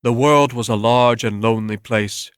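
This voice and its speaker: A man's voice: mid-range voice, normal speed, clear, resonant tone, no room sound, clean studio sound. A man reading scripted narration as the storyteller in a dramatic reading.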